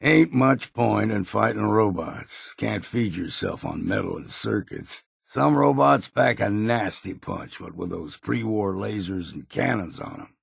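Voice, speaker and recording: An actor playing a grizzled, world-weary old hunter in a video game, with an American accent and a gruff, gravelly, folksy delivery. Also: male voice, fairly low pitch, expressive, a little slow, few pauses, no room sound, clean studio sound, exaggerated voice. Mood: matter-of-fact. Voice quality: gravelly, husky. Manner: folksy, plain-spoken, blunt. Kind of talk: video game character voice lines.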